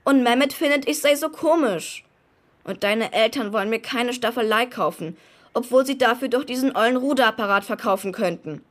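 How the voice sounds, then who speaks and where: A cheeky young boy hero played by a woman in a children's radio play. The voice is high and youthful, with a blunt, unfiltered way of talking. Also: brisk, close to an everyday voice. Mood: matter-of-fact.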